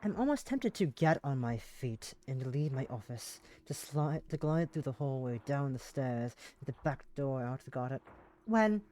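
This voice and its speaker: A woman's voice: a bass voice, very animated, moderate pace, mellow. A woman live streaming, chatting casually and reading visual novel text aloud, now and then tripping over words and rereading them. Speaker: live streamer playing a game.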